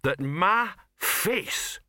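A man voicing a slow, easily offended male troll made of rock, with a ponderous rumbling delivery. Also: expressive, sharp tone, no echo, clean studio sound, heavily acted. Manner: ponderous, slow to digest things.

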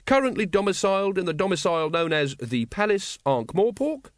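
A man's voice: sits high, sing-song, brisk, dry recording, clean studio sound, pitched above the performer's own voice. A man playing an earnest, literal-minded young policeman who recites formally in an audiobook. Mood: earnest, official. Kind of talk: audiobook character acting.

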